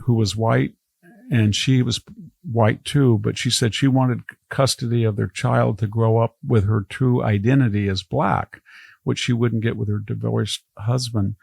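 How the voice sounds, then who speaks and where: An older man with a gravelly, mid-range voice, recorded close and dry. He talks casually on a podcast, drifting through anecdotes with asides and restarts. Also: mid-range voice, steady tone, measured pace, darkish tone, dry recording. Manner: rambling, anecdotal storytelling. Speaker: historian guest.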